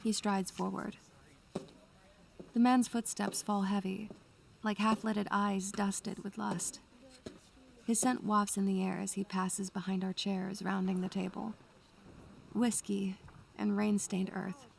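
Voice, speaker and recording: A woman's voice: medium pitch, level tone, slow pace, vocal fry, pauses often, a little hiss or hum. A woman playing a bookish, anxious young student who narrates her memories in a literary, atmospheric style. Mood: brooding, wistful. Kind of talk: atmospheric first-person scripted narration.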